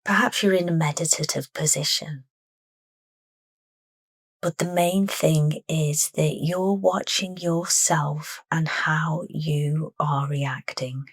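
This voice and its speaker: Female meditation narrator: low register, soft and deliberate, dry studio-like sound. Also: fairly even intonation, husky, dry recording. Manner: soft, unhurried, deliberate phrasing. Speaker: meditation guide.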